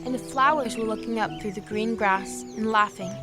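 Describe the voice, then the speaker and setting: An actress playing a young woman who reads a fairy tale aloud in a gentle storybook manner. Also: on the high side, normal speed, close to an everyday voice. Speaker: storyteller within the drama. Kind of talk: audio drama. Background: music.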